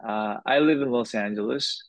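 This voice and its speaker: A man from Korea, a guitar maker being interviewed, answering in an unhurried way with short phrases and pauses. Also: medium pitch, dry recording.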